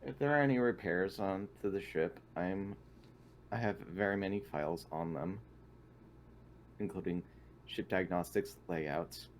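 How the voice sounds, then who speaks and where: Formal, low-voiced man playing an android. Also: measured pace, a little hiss or hum. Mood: clinical, measured. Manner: formal, wordy, clinical. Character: polite, literal-minded male android, built tough. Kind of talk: in-character tabletop roleplay over online game.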